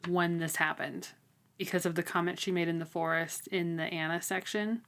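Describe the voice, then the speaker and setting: Female podcast co-host, lowish voice, informal and conversational book discussion. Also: level tone, moderate pace, fairly bright tone.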